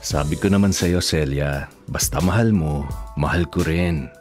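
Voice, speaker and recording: A man's voice: extremely low pitch, a little slow, darkish tone, soft background music. A man acting in a Filipino radio drama as a man of the household, emphatic and melodramatic, with a Filipino accent. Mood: affectionate. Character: men of a family: devoted husband, brash stepson.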